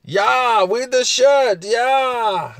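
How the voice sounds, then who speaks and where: Man, husky, casual live chat host, chuckles often, close dry mic. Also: very high-pitched, no room sound. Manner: laughs often, talks back to chat.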